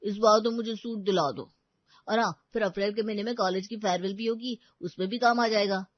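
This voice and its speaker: A woman's voice: medium pitch, brisk, no echo, highs cut off, natural voice. A woman playing a cheeky college-age son who wheedles in a cartoon family story. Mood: pleading.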